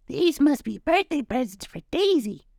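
A man voicing a kindly, gentle young mother in a children's storybook, with a sing-song motherly manner. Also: sits high, big pitch swings, moderate pace, vocal fry, dry recording, studio quality, pitched above the performer's own voice, exaggerated voice. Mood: gentle, cheerful.

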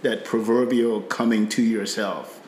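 Middle-aged guy from nigeria, light nigerian accent, low voice, thoughtful, lots of you know and repeats, roomy echo. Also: steady tone, measured pace, a little mellow, slight background noise. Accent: Nigerian British-tinged. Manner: reflective, repeats words, many fillers. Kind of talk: faith conversation.

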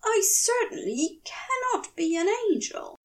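A fussy male angel from a fantasy audiobook, played by a woman, prim and indignant. The voice sits high and flutters with anxiety, swinging widely in pitch, a little distant from the microphone. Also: sing-song, unhurried, full character voice. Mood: frantic. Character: fussy, anxious, easily exasperated male angel. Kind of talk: fan fiction audiobook character voice.

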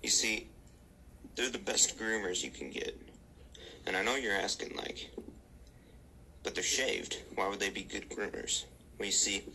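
A man playing a mock-earnest grooming expert in a comic spoof video, half whispering his pitch. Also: vocal fry, pushed and bright, slight background noise, exaggerated voice, poor audio. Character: man posing as a shaved-chimp grooming expert.

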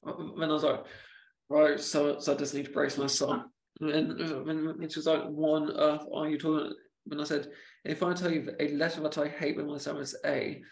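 A man with a stammer talks casually on a podcast, stalling and repeating words as he goes. His voice is slightly high and gravelly with a flat, even pitch. The room around him sounds echoey. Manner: halting, repeats words, speaks of own stammer.

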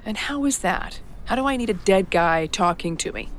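A woman's voice: medium pitch, expressive, moderate pace, natural voice. An actress playing a sleepless, stubborn, sarcastic woman in an audio drama, dry and blunt. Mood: irritated, sarcastic. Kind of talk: naturalistic audio drama acting.

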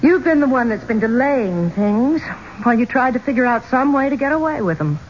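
A woman's voice: on the high side, big pitch swings, fairly quick. An actress playing a bold woman singer in a 1970s radio drama, speaking in a dramatic, emphatic way. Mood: accusing, defiant. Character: bold American woman singer cornered in an Egyptian tomb. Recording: muffled, noisy old radio recording.

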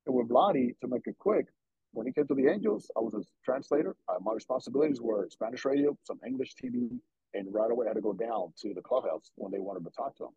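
Man from the Dominican Republic, gravelly and husky, warm low tone, dry muffled sound. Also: quick pace, dry recording. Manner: earnest, animated, quotes others in voices. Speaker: guest, baseball broadcaster and former player. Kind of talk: conversational interview storytelling.

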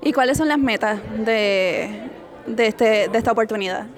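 A woman leading an interview in a fairly high voice. She keeps her questions short and friendly, following up on the guest's answers. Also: moderate pace.

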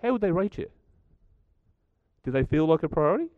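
A middle-aged man with a croaky voice, giving a chatty talk to a live audience and asking them questions. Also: fairly high pitch, very animated, a little fast, no room sound, phone-quality sound. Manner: chatty, stats-driven, asks the audience questions. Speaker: speaker at a community gathering.

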